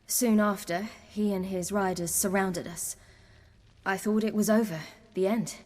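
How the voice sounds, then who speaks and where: An actress playing a young hunted woman warrior in a video game, earnest, wry and defiant, telling things in a measured way. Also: medium pitch, a little mellow, in a large hall, close to an everyday voice. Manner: measured, earnest storytelling with dry wit. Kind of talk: video game dialogue acting.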